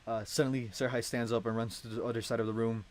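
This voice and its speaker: A man's voice: mid-range voice, flat delivery, quick pace, a little mellow. A young adult man narrating a script in an informal, amused way, often interrupting himself. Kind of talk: casual script read-along.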